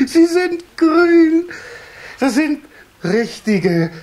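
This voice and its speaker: An actor playing a doting, excitable water-sprite father in a children's audio play, hemming and easily delighted. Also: very high voice, very slow, full character voice. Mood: overjoyed.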